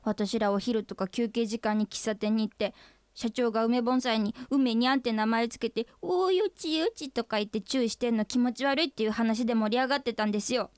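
A woman's voice: on the high side, fairly quick, darkish tone, smooth, no room sound, close to an everyday voice. An actress playing an earnest, forthright female office employee pressing her boss in a comic radio drama. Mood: earnest, embarrassed.

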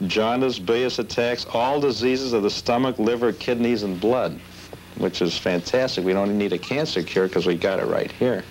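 A man with a fairly low voice talks casually, like a collector showing off his things. The recording is slightly noisy 1970s videotape. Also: moderate pace. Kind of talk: casual show-and-tell interview.

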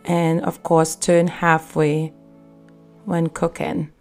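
Woman, lowish voice, calm step-by-step recipe narration over faint music. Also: slow pace. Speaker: cooking channel host.

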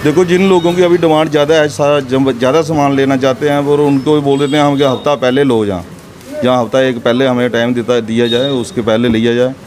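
A man's voice: medium pitch, fairly even intonation, fast talker, darkish tone. Middle-aged shop owner guy rambling on, street noise in the back. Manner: plain, rambling, repeats himself. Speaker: shopkeeper. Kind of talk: interview. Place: inside a small shop. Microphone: handheld mic held to him.

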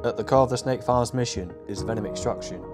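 A man's voice: medium pitch, fast. A man hosting a vlog, guiding viewers on a tour in a chatty, enthusiastic way, then narrating. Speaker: vlog host. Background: background music.

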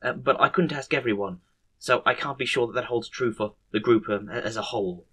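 A man voicing a timid, unsure nurse who reports in a halting stammer in a comic story. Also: medium pitch, a bit bright, husky. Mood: nervous.

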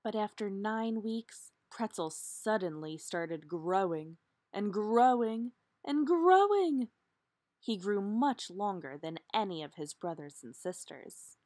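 A woman's voice: mid-range voice, animated, slow, a little mellow, no echo. A woman reading a children's storybook aloud expressively, with dramatic emphasis on key words. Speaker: storybook reader.

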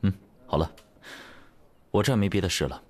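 An actor playing a composed, doting young male executive in an audio drama, speaking in a measured, unhurried way. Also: low voice, close to an everyday voice. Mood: brisk, authoritative.

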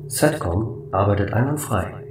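A man voicing a calm, matter-of-fact station computer in a flat, formal way for a sci-fi audio drama. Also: on the low side, measured pace, reverberant, far from the mic, noisy background. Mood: neutral.

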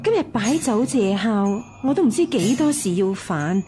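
Radio drama: aggrieved female head of household, weary, mellow, slightly high. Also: sing-song, moderate pace, faint music behind. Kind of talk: Cantonese radio drama acting.

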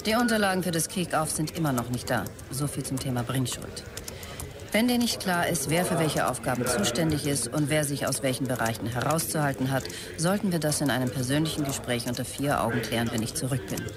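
A man's voice: medium pitch, brisk, music in the background, close to an everyday voice. An actor playing a visionary, demanding male startup executive in a radio drama, speaking tersely and emphatically. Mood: stern. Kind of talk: radio drama, characters dictating messages.

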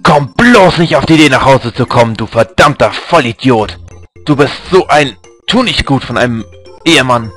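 A man voicing an angry wife in a video game, yelling insults at her husband in a raised voice. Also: medium pitch, animated, normal speed, husky, faint music behind. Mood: furious. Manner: shouting insults. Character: furious scolding wife, woman.

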